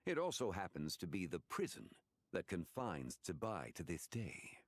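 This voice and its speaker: A man playing a composed, scholarly gentleman in an anime-style game, explaining things formally and in measured phrases. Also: sits low, wildly swooping pitch, dry recording, full character voice. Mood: grave, explanatory.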